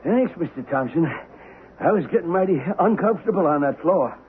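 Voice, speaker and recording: A cheeky, folksy Western sidekick played by a man in a vintage radio play. His voice is on the high side and very animated, coming through a tinny, slightly noisy recording. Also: fairly quick, lightly acted. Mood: relieved, cheeky. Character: chipper, folksy Old West accomplice. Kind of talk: 1970s radio drama acting.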